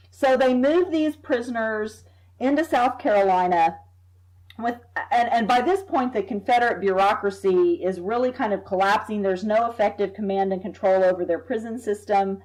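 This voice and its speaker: A woman, a history professor, explaining at length as a podcast guest, measured and thoughtful, sometimes repeating words as she thinks. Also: on the high side, a little mellow, poor audio. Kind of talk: expert explaining in an interview.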